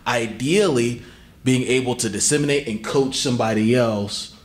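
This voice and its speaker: A man's voice: medium pitch, normal speed. A man co-hosting a podcast, talking in a reflective, motivational way with coaching sayings. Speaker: podcast co-host. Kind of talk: casual podcast chat.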